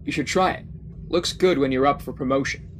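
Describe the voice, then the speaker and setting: A man playing a calm, self-assured starship commander in an audio drama, measured and matter-of-fact. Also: mid-range voice, a little hiss or hum, lightly acted.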